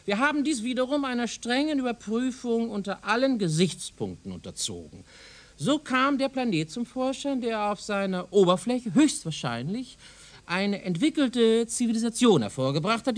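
Radio drama professor: high voice, hugely animated intonation, pedantic, zealous, insistent lecturer. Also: male voice. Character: zealous, self-assured male professor of speculative science. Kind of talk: German radio drama acting.

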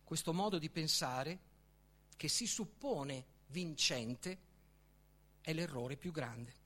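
A man reading literary prose aloud in a solemn, theatrical way, with deliberate phrasing and dramatic pauses. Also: fairly high pitch, lively intonation, pushed and bright. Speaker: author reading from their own book.

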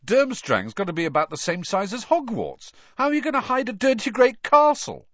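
A man's voice: fairly high pitch, huge pitch swings, normal speed, no echo, dull audio, voice pushed up. A man voicing a blunt, grumbling teenage schoolboy in an audiobook, colloquial and easily exasperated. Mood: sceptical.

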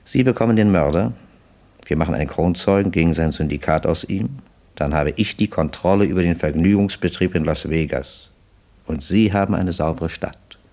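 A smooth gangster boss with a very low voice. He speaks with businesslike courtesy and cool menace, in the manner of 1960s radio drama. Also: moderate pace, dark tone. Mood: smooth, persuasive. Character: smooth, calculating male crime boss proposing deals. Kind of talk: 1960s German radio play acting. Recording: dry 1960s radio studio recording.

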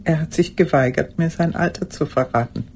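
An actress playing an old woman haunted by a lost love, speaking in a halting, intimate radio drama monologue. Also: deep voice, a little mellow, a little hiss or hum. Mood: haunted, hushed. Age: older. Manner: halting, intimate, sometimes dropping to a whisper.

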